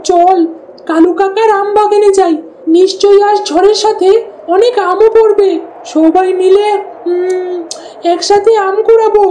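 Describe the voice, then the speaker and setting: Very high, soft-toned eager village boy played by a woman. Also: steady tone, a little slow. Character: eager, adventurous village boy flying kites. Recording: roomy sound with faint noise.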